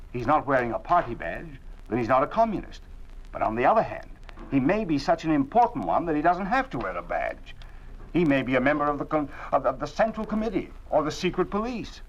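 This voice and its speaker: An actor playing an anxious middle-aged father in a 1950s radio drama, earnest and halting, often repeating words. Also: a little high, big pitch swings, a little hiss or hum. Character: worried middle-aged family father under a regime. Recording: dull old 1950s radio recording.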